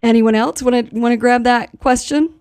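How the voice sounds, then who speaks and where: A woman's voice: fairly high pitch, fairly even intonation, moderate pace, darkish tone, dry recording, studio quality. A woman hosting a radio show, interviewing in a conversational, effusive and complimentary way.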